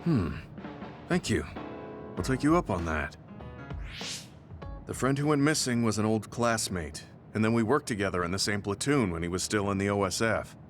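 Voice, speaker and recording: A stoic, thoughtful soldier from a video game played by a man, with a low voice and measured, formal phrasing. He sounds serious and old-fashioned, over clearly audible music. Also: lively intonation, close to an everyday voice. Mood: somber, troubled. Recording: background music over dialogue.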